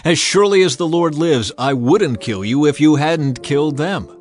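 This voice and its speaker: Man playing a commanding ancient warrior chief, stern, forceful oratory, scripture audio drama, music underneath. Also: a little high, animated, moderate pace, close to an everyday voice. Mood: grim anger. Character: bold male Israelite war leader, stern and commanding.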